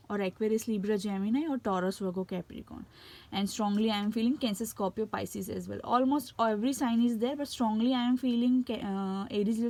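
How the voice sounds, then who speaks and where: A woman's voice: mid-range voice, warm tone. A woman with an Indian English accent and a cold gives a casual tarot reading, with frequent fillers and restarts. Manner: halting, many fillers and restarts. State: has a cold. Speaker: tarot reader.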